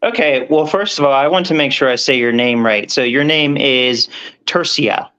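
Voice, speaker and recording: A man's voice: mid-range voice, moderate pace, pushed and bright, smooth, muffled recording. A man as the guest in a casual video-call conversation, speaking in an easygoing way.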